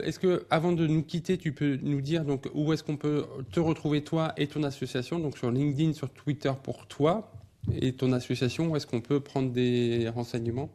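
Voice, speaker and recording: Mellow encouraging male podcast host. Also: fairly high pitch. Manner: encouraging, sums up and restates the guest's points. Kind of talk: casual interview talk.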